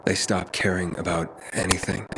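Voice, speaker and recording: An actor playing a plain-spoken, honest young male survivor who talks in a low-key, candid way. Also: little pitch movement, normal speed, vocal fry, very noisy.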